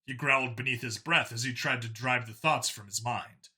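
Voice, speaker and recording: A man's voice: mid-range voice, fairly even intonation, moderate pace, sharp tone. A man reading science fiction as a dramatic scripted narration. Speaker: story narrator.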